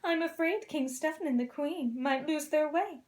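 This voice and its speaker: Woman as a kindly young prince, high-pitched. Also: moderate pace, natural voice. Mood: concerned. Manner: soft, kindly.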